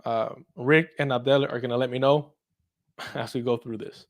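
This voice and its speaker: A man's voice: medium pitch, fairly even intonation, normal speed. A man hosting a casual political commentary show in an irreverent, sweary, chuckling manner. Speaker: host.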